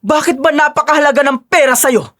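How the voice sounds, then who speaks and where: A woman's voice: high voice, quick pace, fairly bright tone, no echo, clean studio sound. A woman voicing an earnest, guilt-ridden husband and son in a dramatized audio story, pleading in manner. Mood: worried, reproachful.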